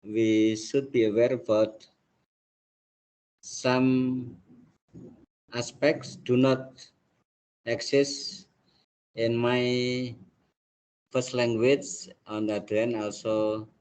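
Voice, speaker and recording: A man from Indonesia with an Indonesian accent, a native Indonesian speaker, lecturing a class on linguistics in a halting way, often saying "let's say, like". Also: medium pitch, flat delivery, a little mellow, rough recording.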